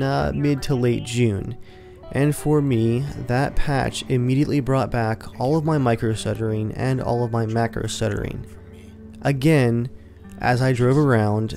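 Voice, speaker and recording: A man talking casually as the host of his gaming channel, rambling in a relaxed, conversational way. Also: medium pitch. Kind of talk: casual gameplay commentary.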